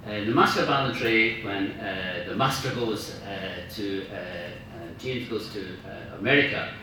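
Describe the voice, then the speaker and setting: A man's voice: fairly low pitch, normal speed. A man gives an informal speech in a large, strongly reverberant room, picked up from a distance over faint noise. He is enthusiastic and emphatic, often feeling his way towards the next word. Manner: enthusiastic, emphatic, searching for words. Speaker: guest speaker, radio dramatist. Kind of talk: informal after-dinner talk about writing. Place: large indoor room at a club dinner.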